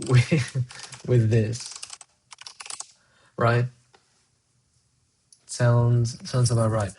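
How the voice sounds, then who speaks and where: Man, Spanish speaker, casual live coding, thinking out loud, faint keyboard typing behind. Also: mid-range voice, a little hiss or hum. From Colombia. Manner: muses aloud, answers chat between tasks. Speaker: programmer streaming live coding.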